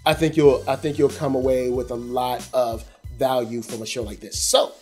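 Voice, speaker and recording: A man's voice: mid-range voice, level tone, moderate pace, a little mellow, breathy edge, faint music behind. An American man hosting an animation review show, talking casually and in slang straight to his viewers. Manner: conversational, slangy, addressing viewers directly. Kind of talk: casual review commentary to camera.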